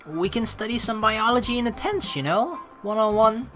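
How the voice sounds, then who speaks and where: A woman's voice: a little high, animated, moderate pace, a bit bright. A woman doing casual, chatty, rambling let's play commentary, reading out a game and reacting playfully. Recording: music under the voice. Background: game music.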